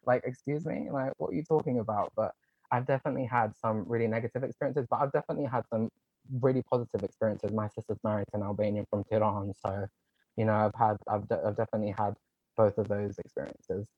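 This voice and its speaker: A young man speaking quickly and earnestly as an interview guest, his low voice packed with casual "like" fillers. The sound is dry and close, but slightly dull and muffled, as though the recording lacks its top end. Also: steady tone, dry recording. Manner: earnest, talks in quick runs with many "like" fillers. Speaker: guest, online content creator.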